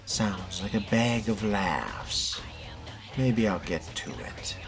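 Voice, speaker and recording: A man role-playing a sardonic, skeptical fledgling vampire in a video game, with a dry, deliberate delivery. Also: lowish voice, vocal fry. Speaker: player voicing his game character. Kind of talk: role-play dubbing of game dialogue. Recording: background music under the voice.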